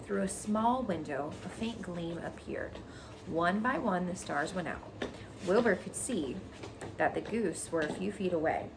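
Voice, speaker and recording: Animated woman reading aloud, noisy recording. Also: fairly low pitch, measured pace. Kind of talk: expressive children's story reading with casual explanations. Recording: noticeable background noise.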